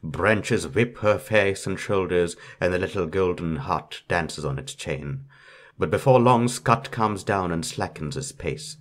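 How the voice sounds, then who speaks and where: Male storyteller, low pitch, scripted fairytale narration. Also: a little slow.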